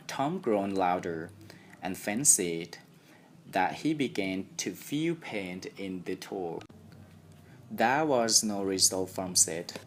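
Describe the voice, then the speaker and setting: A young man with a Thai accent, reading a story aloud carefully and deliberately. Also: low voice, a little hiss or hum. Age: young adult. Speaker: student audiobook reader. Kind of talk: scripted story narration.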